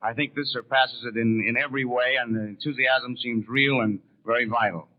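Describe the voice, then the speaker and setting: A man, a delegate giving polite, measured radio interview answers with hesitant starts. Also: medium pitch, fairly even intonation. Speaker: convention delegate being interviewed. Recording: muffled 1930s radio broadcast.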